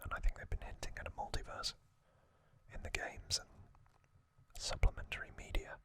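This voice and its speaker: Male, ASMR whisper, crisp bright hiss, casual fan commentary, hushed and unhurried, long pauses. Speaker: ASMR video host.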